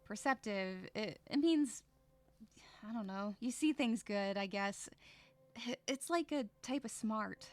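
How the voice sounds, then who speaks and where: A woman playing an irritable, sarcastic, troubled young female loner, narrating a first-person audio drama with expressive, sighing delivery. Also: on the high side, takes it slowly, no echo.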